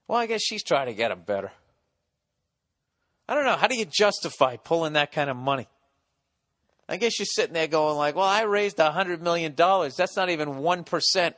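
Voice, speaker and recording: A middle-aged American man hosting a podcast, ranting sarcastically with lots of swearing, going loud then quiet. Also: a little high, dry recording, clean studio sound. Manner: profane, sarcastic ranting, swings loud and soft. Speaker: podcast host. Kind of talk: ranting solo podcast monologue.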